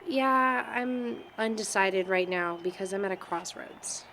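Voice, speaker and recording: An actress plays a talkative young female college student in a small indie film drama. She speaks in an everyday, teasing way at a middling pitch, with some noise around her. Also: measured pace, fairly bright tone, poor audio. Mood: candid, unsure. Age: young adult. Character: Sylvia, chatty young college student teasing an old friend. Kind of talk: naturalistic amateur film dialogue.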